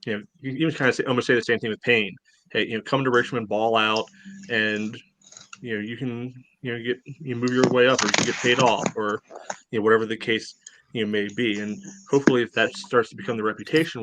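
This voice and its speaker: A man's voice: medium pitch, steady tone, quick pace, noisy background. A man co-hosting a casual sports podcast, rambling and leaning on filler phrases. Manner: rambling, many "you know" fillers. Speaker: podcast co-host.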